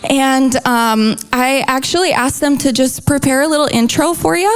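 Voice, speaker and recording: A woman's voice: high-pitched, fairly even intonation, moderate pace. A woman, hosting a panel and informally introducing it to an audience. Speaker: panel host.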